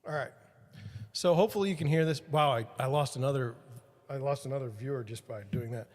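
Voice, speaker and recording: A man's voice: medium pitch, brisk, darkish tone, in a large hall. A podcast host rambling to his livestream viewers while swapping out his microphone mount, joking at his own expense. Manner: self-deprecating, rambling, talks to viewers. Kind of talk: casual self-talk while fixing gear on a livestream. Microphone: studio mic with changing levels.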